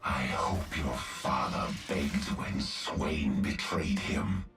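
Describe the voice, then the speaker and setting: A man playing a menacing, brutal half-machine warlord in a video game, speaking in a grim, deliberate, threatening way with a gravelly voice. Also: a bass voice, a bit bright, faint music behind, exaggerated voice. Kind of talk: dramatic video game villain acting.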